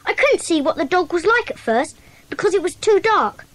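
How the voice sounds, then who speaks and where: Very high-voiced eager schoolboy sleuth. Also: female voice, big pitch swings, moderate pace, fairly bright tone, no room sound, exaggerated voice. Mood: earnest, puzzled. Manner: earnest, detailed storytelling. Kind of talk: dramatised children's audiobook acting.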